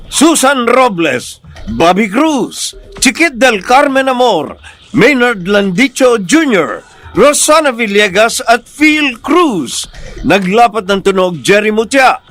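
A woman announcer presenting a radio drama program in a formal, measured, scripted style. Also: mid-range voice, very animated, fairly bright tone, no echo. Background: music.

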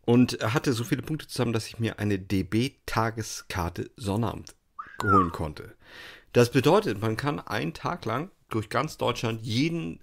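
A man's voice: medium pitch, lively intonation, measured pace, vocal fry, slight background noise. A man co-hosting a podcast, telling rambling anecdotes in a casual, tongue-in-cheek way. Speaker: podcast co-host.

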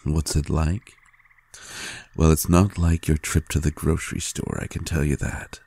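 A man playing a tender, adoring vampire lover in an intimate audio roleplay, gently teasing in a hushed, halting, gravelly voice. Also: flat delivery, exaggerated voice. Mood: reassuring, seductive. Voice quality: very deep, gravelly and husky. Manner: slow, hushed, halting with pauses.

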